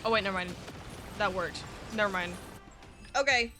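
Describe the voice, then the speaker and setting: Mid-pitched woman streamer over game music. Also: normal speed. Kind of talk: casual livestream commentary.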